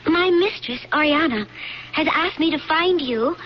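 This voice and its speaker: A woman's voice: sits high, animated, moderate pace. An actress playing an innocent, loyal young blind servant girl in a radio drama, earnest and plaintive. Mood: earnest, puzzled. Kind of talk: 1970s radio drama acting. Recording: dull, slightly noisy radio recording.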